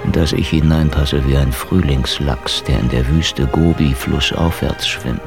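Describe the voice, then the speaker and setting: An actor playing a world-weary, sarcastic private detective from Soviet times in a radio drama, with a dry, laconic delivery. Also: male voice, very deep voice, fairly even intonation, a little slow, creaky, over music, exaggerated voice. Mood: wry, weary. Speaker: first-person narrator and lead character. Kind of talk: noir radio drama monologue and dialogue.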